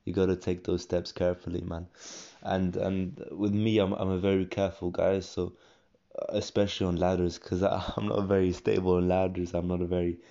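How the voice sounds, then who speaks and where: A young man rambling casually about his day, self-correcting often, his voice croaky from a cold. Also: low-pitched, little pitch movement, fairly quick, mellow. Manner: rambling, self-correcting, frequent ums and restarts. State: croaky voice from a cold, coughing and clearing throat. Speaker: vlogger. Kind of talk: casual personal audio diary. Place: at home. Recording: dull lo-fi recording.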